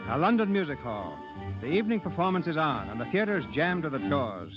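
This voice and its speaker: A man hosting a radio theatre, reading scripted 1930s narration in a formal, measured announcing manner. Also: a little high, very animated, loud music behind the voice. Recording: muffled 1930s radio broadcast.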